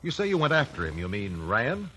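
An actor playing a composed gentleman lawyer, measured and formal, in a 1950s radio drama. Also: medium pitch, huge pitch swings. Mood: probing. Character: probing, composed gentleman lawyer questioning witnesses. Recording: faintly noisy old radio recording.

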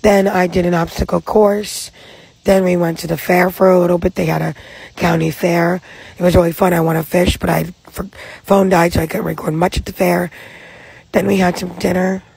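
A young woman doing a casual, plainly told vlog voice-over with a husky voice. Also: fairly low pitch, flat delivery, normal speed, darkish tone, no room sound, low-quality recording. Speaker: vlogger. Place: voice-over, partly recorded outside.

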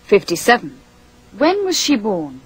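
A woman reading a scripted language course drill, slowly and with careful enunciation. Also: mid-range voice, wildly swooping pitch, husky. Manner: slow, deliberate, carefully enunciated.

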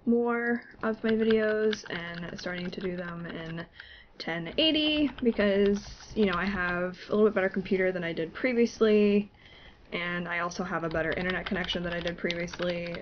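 A woman's voice: lowish voice, moderate pace, muffled recording, slight background noise. A woman with a cold and a congested voice, chatting casually and laughing at herself while she plays. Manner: chatty, laughing, self-deprecating. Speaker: gaming YouTuber. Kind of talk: casual gameplay commentary. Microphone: desktop mic, no webcam.

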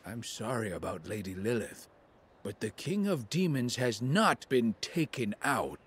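Animated, loyal little old demon. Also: male voice, medium pitch, unhurried, husky. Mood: earnest, considerate. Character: small, kindly, loyal old demon sidekick. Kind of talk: cartoonish video game acting.

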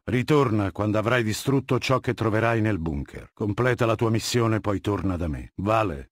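A male actor playing an imperious, menacing middle-aged warlord in a video game, commanding, cold and condescending, with a husky voice. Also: medium pitch, dry recording, clean studio sound, natural voice. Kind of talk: video game dialogue, Italian dub.

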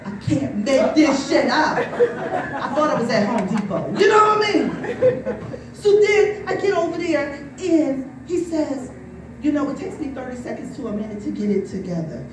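A woman's voice: high-pitched, expressive, moderate pace. A woman in her forties from New Jersey doing a bawdy stand-up set, often asking "you know what I mean?". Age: 40s. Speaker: stand-up comic. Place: brewery taproom with live audience. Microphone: filmed from the crowd by a far camera. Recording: echoey room, from far off, crowd noise.

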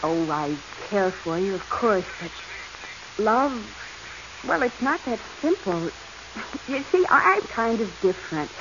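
A noisy vintage radio recording carries a breezy, plain-spoken woman adventurer. She is firm and independent, with a medium-pitched voice and dulled sound, in 1940s drama style. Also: sing-song, measured pace, dull audio. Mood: frank, calm.

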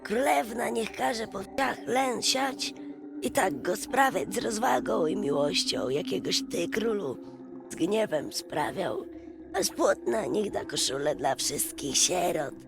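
A woman voicing a patient, wise old male prisoner in a storybook, speaking in a slow, emphatic, creaky way. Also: mid-range voice. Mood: forgiving, earnest. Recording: music behind the voice.

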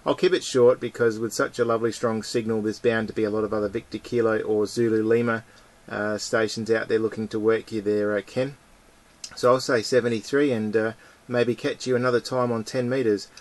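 A man's voice: on the low side, level tone, fairly quick. A man with an Australian accent chatting as an amateur radio operator, using call signs, recorded indoors. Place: indoors beside the radio transceiver.

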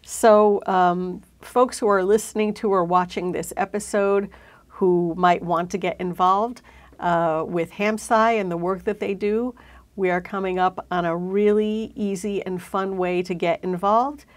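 A woman's voice: mid-range voice, fairly even intonation, a little slow. A middle-aged woman hosting a conversational interview in a studio on a lapel mic. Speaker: host. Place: indoor studio.

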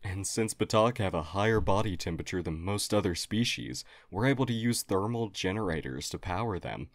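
A man playing a polite, earnest, apologetic humanoid alien in an audio roleplay, speaking formally and haltingly. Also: low voice, lively intonation, no echo. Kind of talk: audio roleplay acting addressed to listener.

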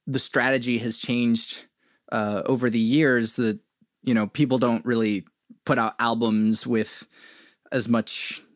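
A man's voice: mid-range voice, no echo, clean studio sound. A male podcast host asking searching questions hesitantly, with many fillers. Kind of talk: podcast interview.

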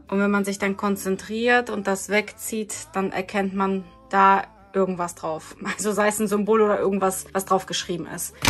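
A woman narrating a home vlog in a casual, chatty way, speaking directly and informally to her viewers. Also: medium pitch. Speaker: home and cleaning vlogger.

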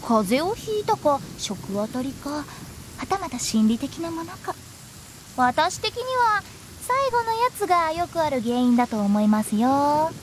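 A woman's voice: high-pitched, expressive, a little slow, a little hiss or hum. A woman playing a cheeky, theatrical young woman who loves pranks, in anime game style. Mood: breezy. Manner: playful, theatrical, teasing.

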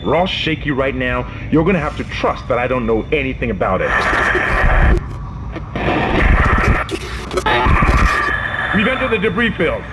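An actor playing an embattled starship security officer in a video game, gruff, urgent and authoritative. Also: male voice, medium pitch, big pitch swings, quick pace, over loud music, natural voice, poor audio. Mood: defensive. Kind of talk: video game dramatic acting.

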